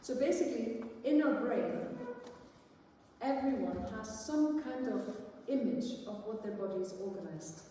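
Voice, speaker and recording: A woman from Iceland, a music teacher explaining things to a conference audience in an informal, halting way. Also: on the high side, animated, dark tone. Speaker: music teacher and viola player presenting. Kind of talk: conference talk with audience questions. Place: large indoor conference hall. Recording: echoey hall from far off, faint noise.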